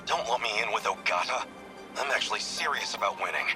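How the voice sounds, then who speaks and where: A man's voice: on the low side, expressive, quick pace, over music, lightly acted. A man playing a serious, proud young mech pilot in an English video game dub, speaking in earnest declarations. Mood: stern.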